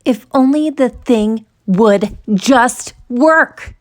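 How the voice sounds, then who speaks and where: A woman reading a children's picture book aloud in an expressive, deliberate storytelling manner, stretching words for emphasis. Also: a little high. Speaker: storytime reader.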